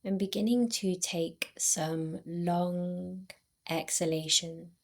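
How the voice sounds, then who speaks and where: Very slow-paced woman guiding meditation. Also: fairly low pitch, steady tone. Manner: soothing, unhurried, with long pauses. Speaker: yoga nidra guide. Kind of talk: guided meditation.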